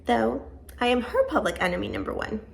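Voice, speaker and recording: A woman's voice: on the high side, lively intonation, fairly quick, vocal fry, slight background noise. A woman about to turn forty, born in Venezuela and raised in Georgia, chatting casually to camera for a home vlog in a rambling, self-deprecating way. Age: 30s. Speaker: vlogger.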